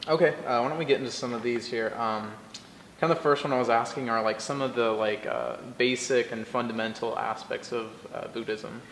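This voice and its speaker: A man's voice: mid-range voice, steady tone, brisk, a bit bright, slight background noise. Hesitant male interviewer in an echoey room. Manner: hesitant questions with many fillers. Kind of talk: interview questions.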